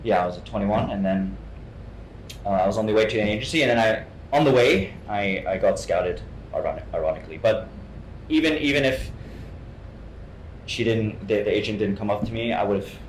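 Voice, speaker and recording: A young man from Hong Kong talks casually as a guest in an interview. His voice sits on the low side, and he often stumbles, repeating words before carrying on. The room is echoey, with a faint noise underneath. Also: normal speed. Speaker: guest actor. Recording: roomy sound with faint noise.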